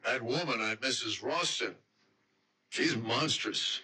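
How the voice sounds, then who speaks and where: A man's voice: mid-range voice, slow, bright, forceful tone, husky, full character voice, low-quality recording. An actor playing a sceptical young man in a radio drama, reasoning aloud emphatically. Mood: disgusted, wry. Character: young man, sceptical, speculating fiancé on the run.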